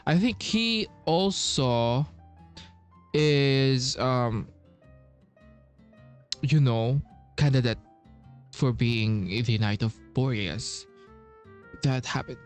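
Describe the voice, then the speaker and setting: A man giving casual playthrough commentary as a game streamer, halting as he thinks aloud. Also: mid-range voice, clean tone. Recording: game music behind voice. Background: video game music.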